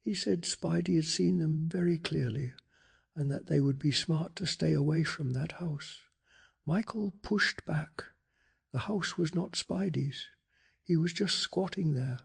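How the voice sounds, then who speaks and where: A man softly narrating a bedtime story in an ASMR style, with a calm, hushed, breathy delivery and some gravel in the voice. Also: on the high side. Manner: slow, calming, hushed delivery. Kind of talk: soft-spoken ASMR storytelling.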